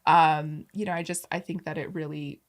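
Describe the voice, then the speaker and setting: A woman's voice: low voice, steady tone, moderate pace. A woman who is a guest in a casual interview, talking in a chatty way that leans on "like" and "you know". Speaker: program director, guest.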